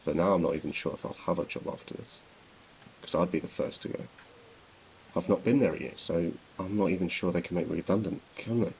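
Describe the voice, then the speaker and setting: A man's voice: low voice, a little fast, gravelly, a little mellow, a little hiss or hum, poor audio. A British man in his twenties playing a disillusioned, anxious young graduate in a filmed diary monologue. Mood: disappointed, anxious. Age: 20s. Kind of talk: spoken diary monologue in a short film.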